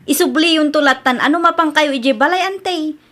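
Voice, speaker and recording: A woman's voice: high-pitched, normal speed, a bit bright. A woman with an Ilocano Filipino accent playing a polite, bubbly young niece in a radio drama. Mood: polite, cheerful. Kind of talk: radio drama acting and show hosting.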